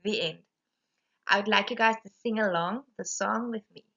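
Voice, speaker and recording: A woman reading a rhyming children's storybook aloud in an animated, emphatic way. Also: mid-range voice, normal speed, vocal fry, highs cut off. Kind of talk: expressive rhyming storybook narration.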